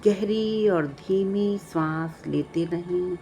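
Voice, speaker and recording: A woman narrating a guided meditation, giving calm, measured instructions with long pauses. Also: on the low side, a little hiss or hum. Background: background music.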